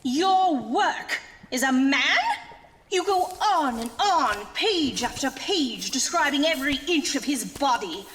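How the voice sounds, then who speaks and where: A woman's voice: sits high, animated, slow, fairly bright tone, slight background noise, exaggerated voice. A woman playing a furious, scornful young fiancée, scolding theatrically in a comic horror audio drama. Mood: hurt, accusing. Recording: long reverberant room sound.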